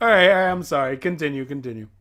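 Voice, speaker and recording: An excitable host giving casual, exclamatory, dramatic reaction commentary. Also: medium pitch, fast.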